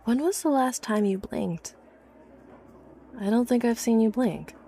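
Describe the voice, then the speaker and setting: A woman's voice: on the high side, animated, unhurried, vocal fry, mellow, slight background noise. A woman playing an obsessive, haunted young inventor from a wealthy family in a horror audio drama, confiding hesitantly with phrases that drop to a whisper. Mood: shaken, halting. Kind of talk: horror audio drama acting, confessional monologue. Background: background music.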